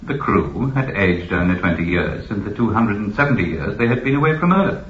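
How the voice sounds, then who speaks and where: An actor playing a formal, authoritative keeper of an ancient imperial library in a science fiction radio drama. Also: male voice, lowish voice, big pitch swings, a little fast, muffled recording. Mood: informative, detached. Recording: echoey, band-limited old radio recording.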